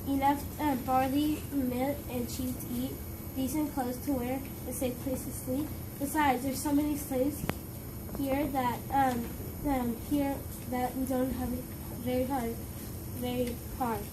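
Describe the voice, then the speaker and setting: A young woman haltingly reading the part of a resigned, helpful enslaved palace girl in amateur reader's theater. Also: high voice, little pitch movement. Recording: distant mic, loud background noise.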